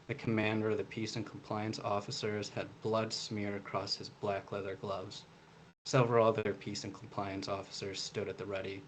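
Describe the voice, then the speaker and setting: A man's voice: lowish voice, a little hiss or hum, low-quality recording. A man, an author speaking and reading his own story aloud. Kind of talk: reading a prose passage aloud, with some informal introduction.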